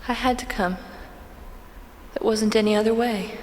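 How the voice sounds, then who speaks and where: A woman's voice: fairly high pitch, steady tone, moderate pace, darkish tone, a little hiss or hum, lightly acted. A woman playing an anguished, frightened teenage girl finding her courage in an audio drama. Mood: resolute. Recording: music under the voice.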